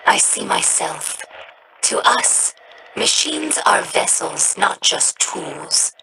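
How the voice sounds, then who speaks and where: A young alien scholar speaks in a gravelly, breathy rasp, slow and measured. Her mid-pitched voice sounds earnest and reflective, like a game character recounting her people's history. A steady ambient hiss sits under the game audio. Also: full character voice. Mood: solemn. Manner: slow, measured, solemn delivery.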